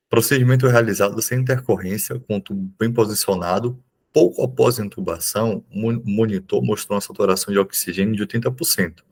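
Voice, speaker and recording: A young man with a Bahian Brazilian accent, explaining exam questions informally as a teacher, in a halting way with frequent checking tags. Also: lowish voice, breathy edge. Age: young adult. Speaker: neurology resident and exam prep teacher. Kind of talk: informal exam review lesson.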